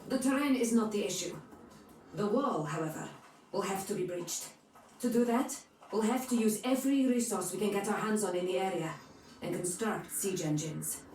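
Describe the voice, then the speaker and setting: A woman's voice: mid-range voice, normal speed, a little mellow, natural voice. A woman playing a fierce, hot-tempered mercenary captain in a video game, blunt and commanding. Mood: blunt, businesslike. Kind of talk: dramatic video game acting. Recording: echoey, distant, music underneath.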